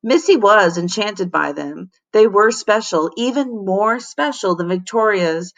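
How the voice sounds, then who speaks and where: A woman teacher reading a children's story aloud in an expressive storytelling style. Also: medium pitch, unhurried. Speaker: teacher reading to her class.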